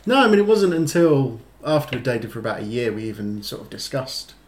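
A man's voice: mid-range voice, very animated, fairly quick. A man co-hosting a casual podcast chat, telling stories in a rambling, self-deprecating way with many fillers. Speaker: podcast co-host.

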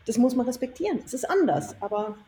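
A woman with a fairly high yet mellow voice talks as an interview guest. She is enthusiastic and emphatic in her conversational storytelling. The room is noticeably echoey. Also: very animated, fast talker. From Germany. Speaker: interview guest, self-employed former marketing executive. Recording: echoey room, faint noise.

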